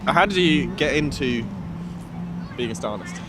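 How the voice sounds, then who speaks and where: A man's voice: medium pitch, lively intonation, measured pace, background noise. A young man hosting a casual vlog, asking upbeat questions while filming himself on a phone as he walks around the city. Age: young adult. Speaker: vlog host. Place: outdoors on a city street and in a station. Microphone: phone held at arm's length.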